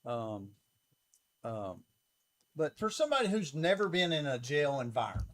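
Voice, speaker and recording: A man's voice: fairly high pitch, very animated, normal speed. A man with a Southern US accent hosting a local interview, easygoing and affirming, asking plain questions. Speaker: interview host. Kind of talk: casual interview talk. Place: local TV studio.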